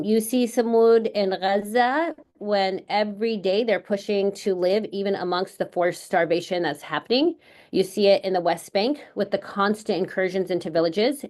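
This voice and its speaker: Woman, middle-aged, educator, from California, earnest, measured, lots of um, mid pitch. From California, United States. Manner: earnest, measured, frequent "um" fillers. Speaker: educator, panel speaker. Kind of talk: panel talk at a book launch.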